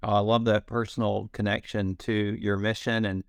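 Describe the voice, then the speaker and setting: A man's voice: fairly low pitch, flat delivery, normal speed, gravelly, clear, resonant tone, dry recording, studio quality. A middle-aged man hosting a podcast interview, upbeat and complimentary as he brings in his guest. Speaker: podcast host, company CEO.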